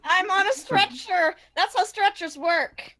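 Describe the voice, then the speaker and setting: A woman's voice: extremely high pitch, a little slow, bright, forceful tone. A woman improvising live dub lines, riffing absurdly and sounding exasperated. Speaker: fandub voice actor. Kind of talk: improvised live dubbing banter.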